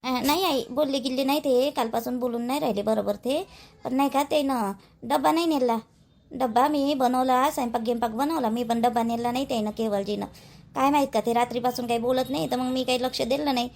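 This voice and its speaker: A woman's voice: sits high, steady tone, fairly quick, vocal fry, a little mellow. A woman playing a dutiful young village wife in a cartoon, plaintive and rambling, with a Vidarbha Marathi accent. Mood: worried. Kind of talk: homely cartoon drama dialogue.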